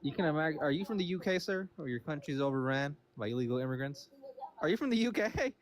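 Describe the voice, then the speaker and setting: Mocking man with a lively voice. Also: fairly high pitch, fast. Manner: mocking and provocative, keeps interrupting with "sir". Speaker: caller on a livestream. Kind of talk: trolling debate call.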